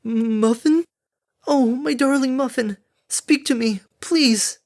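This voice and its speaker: A woman's voice: medium pitch, sing-song, measured pace, a little mellow, no echo, clean studio sound, pitched above the performer's own voice. A woman voicing a scatterbrained, doting pegasus mother pony in a storybook, with a chirpy manner and a stammer. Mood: desperate pleading. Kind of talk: animated audiobook character acting.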